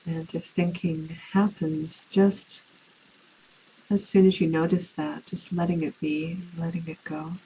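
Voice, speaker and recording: A woman leading a guided relaxation meditation in an unhurried, soothing way, leaving long pauses. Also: low-pitched, level tone, dark tone. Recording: muffled, with clear background noise.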